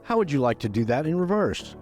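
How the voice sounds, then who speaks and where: A man reads a scripted podcast intro with an announcer's delivery. His pitch moves around a lot. Faint music plays underneath. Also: very animated, a little fast, a little mellow. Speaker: podcast co-host.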